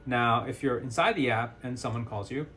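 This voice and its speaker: A man's voice: mid-range voice, moderate pace, background noise. A man presenting an informal step-by-step product tutorial in a plain-spoken, hands-on, instructional way.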